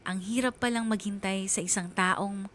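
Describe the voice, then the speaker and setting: A woman's voice: medium pitch, steady tone, moderate pace, fairly bright tone, slight background noise, close to an everyday voice. A woman playing a lonely, anxious schoolgirl who narrates in the first person for a radio drama, sorrowful and confiding. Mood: anxious, sad.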